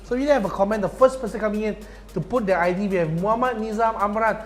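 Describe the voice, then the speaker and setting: A man's voice: high-pitched, fast. A young man casting live esports, chatty and slangy, pushing viewers to join in. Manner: chatty, slangy, promotional asides. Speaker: esports caster.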